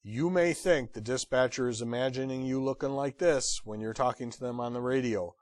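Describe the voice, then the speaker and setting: A man giving an instructional lecture as a trainer, blunt and direct with wry humor and emphatic pauses on key phrases. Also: mid-range voice, a little mellow, dry recording, clean studio sound. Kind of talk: instructional lecture on radio procedure.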